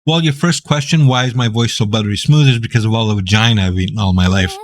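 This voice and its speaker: A man from Greece talks through viewer questions in a relaxed, rambling way. He has a raspy voice that sits on the low side. He jokes often and keeps his delivery loose and unhurried. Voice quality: deep, raspy. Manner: laid-back, joking, rambling. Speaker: gaming YouTuber. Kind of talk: casual Q&A reading viewer questions.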